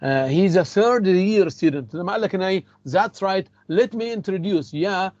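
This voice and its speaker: Egyptian teacher, high dry voice. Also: male voice, fast, a little mellow, no room sound, lo-fi sound. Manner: switches between English and Egyptian Arabic, repeats phrases. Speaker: English teacher. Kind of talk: classroom lecture.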